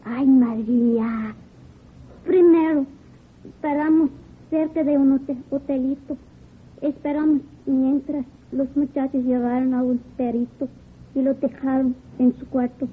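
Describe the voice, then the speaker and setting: A woman's voice: high voice, fairly even intonation, normal speed, breathy edge. An actress playing a defensive, hesitant young woman questioned by police in a 1950s radio drama. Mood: hesitant, recalling. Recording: tinny narrow old radio recording.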